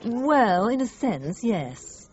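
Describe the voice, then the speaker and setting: An actress playing an articulate, guarded woman personnel manager who gives measured, discreet answers in a radio crime drama. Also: a little high, big pitch swings, darkish tone, dull audio, faint music behind, full character voice. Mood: guarded, polite.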